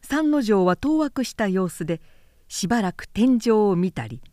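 A woman narrates a literary tale at an unhurried pace. Her intonation moves widely and expressively, in a measured storytelling style. The recording is dry and close. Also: medium pitch, lively intonation, warm tone, dry recording.

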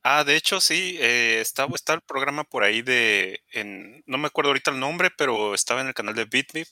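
A man's voice: medium pitch, animated, a little fast, sharp tone, dry recording, clean studio sound. A man in a casual chat, speaking hesitantly and searching for words.

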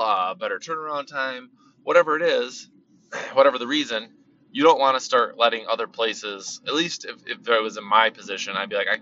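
A man's voice: mid-range voice, bright, forceful tone, a little hiss or hum. A man talking casually about card collecting, rambling and repeating himself, and losing his voice. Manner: rambling, repeats words, many fillers. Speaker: card collecting channel host. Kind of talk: casual monologue. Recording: slightly dull lo-fi sound.

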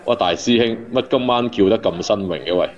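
Low, brash Hong Kong Cantonese bartender male actor. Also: fairly quick, lo-fi sound, lightly acted. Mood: brash, ribbing. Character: brash, street-wise male bartender dishing advice. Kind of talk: radio drama acting, bar banter.

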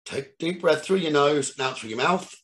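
A man leading a guided meditation as the teacher, giving soothing instructions in short phrases with pauses between them. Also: a little high, expressive, a bit bright. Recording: roomy echo, distant from the mic.

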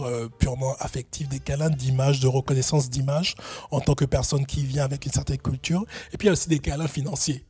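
Man, interview guest, hesitant, many restarts, mellow husky tone, faint music behind. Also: medium pitch, no echo. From France. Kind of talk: thoughtful interview answers.

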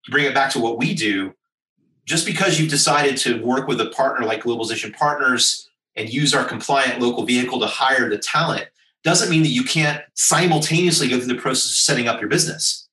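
A man's voice: medium pitch, fairly quick, sharp tone, far from the mic. An American man giving persuasive business advice in an interview, speaking emphatically in rhetorical lists. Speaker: business executive guest. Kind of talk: interview answer, advisory. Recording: roomy sound, voice from off-mic.

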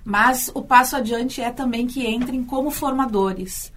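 Brazilian lady teacher explaining things in a radio interview, kinda high, repeats herself as she thinks, faint hiss behind. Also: measured pace, a bit bright. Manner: thoughtful, often repeats words as she thinks. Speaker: university teacher and storyteller.

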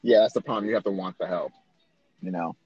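A man's voice: deep voice, quick pace, darkish tone, husky, no echo, soft background music. Joking man on a dull, dry remote call. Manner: joking, boastful, swears casually. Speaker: podcast co-host. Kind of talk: casual chat between friends. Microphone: remote call mic.

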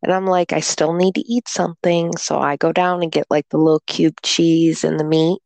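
A woman chatting casually, slowly telling a rambling story about being ill, still sounding congested. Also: low voice, steady tone, vocal fry, darkish tone, no room sound. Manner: rambling storyteller, slow and drawn-out. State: congested, recovering from illness. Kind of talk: casual chat.

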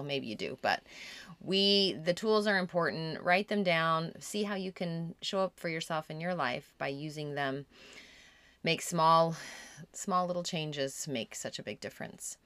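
A woman's voice: lowish voice, fairly bright tone, smooth, no room sound. A mother co-hosting a podcast, chatting in an agreeable, affirming way. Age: middle-aged mother. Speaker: podcast co-host. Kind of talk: casual podcast chat.

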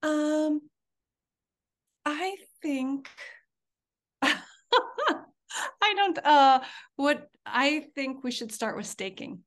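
A woman co-hosting a casual podcast, friendly and quick to laugh, often trailing off softly. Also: high-pitched. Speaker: co-host.

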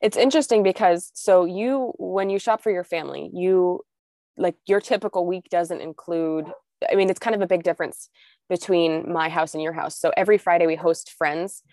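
Casting: woman, podcast co-host Low-ish voice, stuffy and nasal with a cold, chatty, dry close sound. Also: moderate pace, mellow, no room sound. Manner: chatty, asks follow-up questions. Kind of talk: casual chat.

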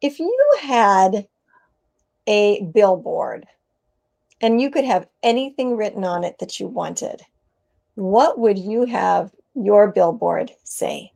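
A woman's voice: medium pitch, animated, takes it slowly, creaky, a little mellow. A woman hosting an interview podcast, speaking in an enthusiastic, effusive way. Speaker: podcast host.

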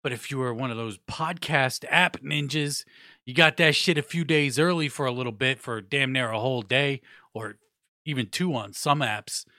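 A man's voice: medium pitch, normal speed, no room sound, studio quality. A young adult man hosting a casual hip hop podcast, talking loosely with slang and cursing, his nose stuffed up. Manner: loose, profane, slangy talk with shout-outs. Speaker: podcast host.

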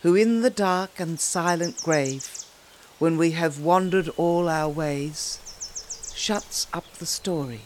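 A woman reciting poetry in a measured, dramatic way with deliberate pauses. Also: low-pitched, a little hiss or hum. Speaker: poetry reader.

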